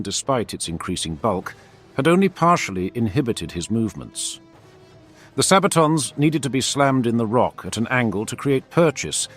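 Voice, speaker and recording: A man's voice: mid-range voice, fairly bright tone. A man narrating a fantasy war story in a scripted, dramatic storytelling style.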